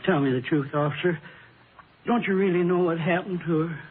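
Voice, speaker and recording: A man's voice: on the high side, lightly acted. Man playing a shaken, grieving old widower, tinny recording. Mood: anguished, bewildered. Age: over sixty-five. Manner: halting, polite, plain folksy speech. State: shaken with grief. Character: grieving, bewildered old widower, plain-spoken and polite. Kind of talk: 1950s radio drama acting. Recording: tinny, noisy vintage radio recording.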